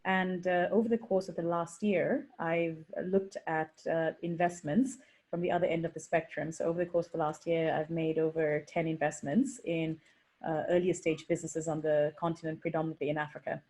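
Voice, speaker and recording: A woman investor introduces herself on an online panel over a video call. She speaks in an articulate, businesslike way with occasional ums. Her voice is a little low. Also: little pitch movement, fairly quick, a little mellow.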